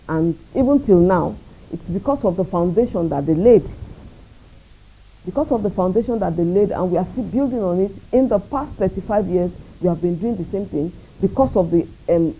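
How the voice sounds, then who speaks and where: A Nigerian woman speaking as a radio station executive in an interview, measured, earnest and proud. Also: fairly low pitch, mellow, a little hiss or hum, poor audio. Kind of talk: interview answers.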